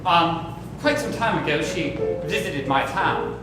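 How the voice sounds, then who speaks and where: A grim, formal stranger hunting for his lost brother and bent on revenge, played by a man in a stage thriller. He speaks in mannered, proper diction at a fairly high pitch. The echoey live theater carries loud music behind him. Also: expressive, a little slow.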